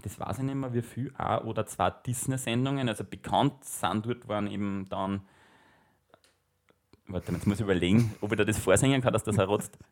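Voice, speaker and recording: Low-voiced man, chatty podcast host. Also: a little fast. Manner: chatty, hums cartoon theme tunes, laughs easily. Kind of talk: casual chat.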